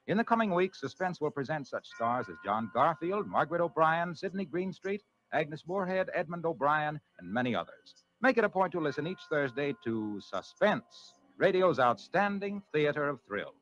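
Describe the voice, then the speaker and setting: A man's voice: on the high side, sing-song, moderate pace, hardly any pauses, fairly bright tone, soft background music. A man announcing on 1940s radio with a polished, emphatic sponsor's delivery. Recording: dull vintage 1940s radio broadcast.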